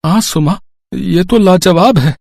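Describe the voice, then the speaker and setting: A man's voice: high-pitched, measured pace, vocal fry, darkish tone, no room sound, studio quality, full character voice. A man voicing a poor but proud village farmer, a worried husband and father who speaks earnestly in a cartoon story. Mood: approving. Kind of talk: animated moral story dialogue.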